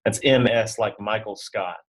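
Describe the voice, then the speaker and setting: A man's voice: lowish voice, steady tone, measured pace. A man chatting casually as an interview guest. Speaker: guest insurance agent.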